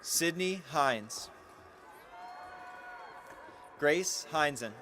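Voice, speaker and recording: A man reading out a formal ceremony roll call of names in a measured, deliberate way, one short phrase at a time. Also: a little high, expressive. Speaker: announcer reading graduates' names. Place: high school graduation ceremony, amplified announcement.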